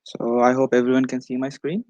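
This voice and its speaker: Male academic presenter, medium-pitched, explanatory delivery of a prepared conference talk, recorded dry. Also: flat delivery, brisk, mellow, dry recording, highs cut off. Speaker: research paper presenter.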